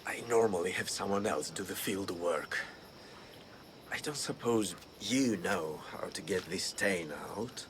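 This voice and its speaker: Very deep-voiced, unruffled villain. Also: male voice, measured pace. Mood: dry, unruffled. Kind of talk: video game cutscene acting. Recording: noisy outdoor ambience, chirping insects.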